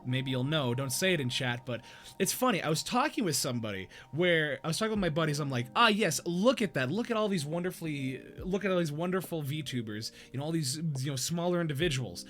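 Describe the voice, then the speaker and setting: Young man, livestream host, fairly high voice, rambling, self-deprecating, faint music behind. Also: expressive, fast talker, fairly bright tone. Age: young adult. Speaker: stream host. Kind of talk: casual livestream chat.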